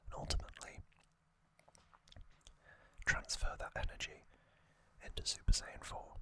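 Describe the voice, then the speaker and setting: A man whispering ASMR commentary as the host of a trivia show, speaking in short, measured phrases. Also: sharp tone.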